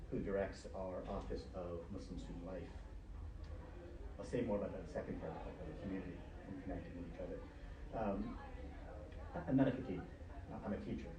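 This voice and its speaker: Low male voice, middle-aged, Urdu native; relaxed lecture-style sermon through a face mask, roomy and noisy. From Pakistan, grew up in Canada. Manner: conversational, many fillers and restarts. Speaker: professor giving a Friday sermon. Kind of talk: university Friday sermon. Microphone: speaking through a face mask. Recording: echoey room, mic far off, noisy background.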